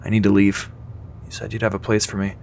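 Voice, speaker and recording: A man's voice: on the low side, monotone, quick pace, creaky, a little mellow, slight background noise. Defiant, idealistic teenage son acted by a man. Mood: hurt, resolute. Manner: earnest, defiant. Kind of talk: audio drama acting.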